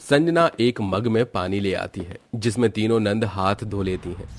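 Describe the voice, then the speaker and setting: A man's voice: on the low side, moderate pace. A man narrating a scripted cartoon moral story as its storyteller.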